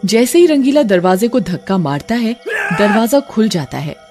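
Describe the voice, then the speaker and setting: A woman's voice: medium pitch, very animated, moderate pace, mellow, faint music behind. A woman narrating a scripted horror cartoon story as its storyteller.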